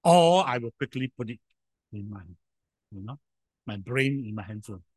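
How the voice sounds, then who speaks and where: A man preaching a sermon in a loud voice, emphatic, with sudden swings in volume and frequent rhetorical questions. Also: mid-range voice, lively intonation, normal speed, dry recording, studio quality.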